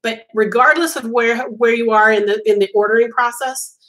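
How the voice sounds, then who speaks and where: A woman's voice: on the high side, steady tone, normal speed, a bit bright. A woman whose first language was Italian, hosting a casual live chat as a crochet designer, rambling with frequent ums and restarts.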